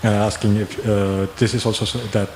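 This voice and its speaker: A man's voice: lowish voice, flat delivery, normal speed, a little mellow. A man in the audience asking long, rambling questions at a talk, with many fillers. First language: not German. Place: conference room. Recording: echoey hall, faint noise.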